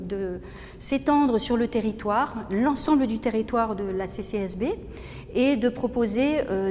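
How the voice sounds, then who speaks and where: A woman explaining in an interview, pausing often with hesitant ums as she presents her work. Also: dark tone. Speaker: music school representative. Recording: very reverberant room, faint rumbling noise.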